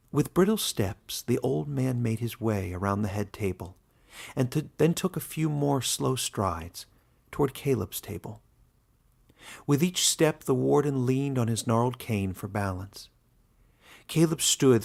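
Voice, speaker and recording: A man narrating a scripted fantasy story in a dramatic, expressive, deliberate way. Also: mid-range voice, vocal fry.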